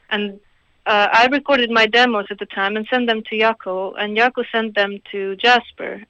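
A woman's voice: mid-range voice, bright, forceful tone, dry recording, dull audio. A woman from Croatia, a singer-songwriter answering interview questions in a hesitant, thoughtful way with small laughs. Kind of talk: radio interview guest.

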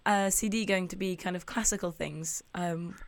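A woman's voice: on the low side, steady tone, normal speed. A woman interviewing a musician, chatty and friendly in her questions. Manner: chatty, laughs easily between questions. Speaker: radio interviewer.

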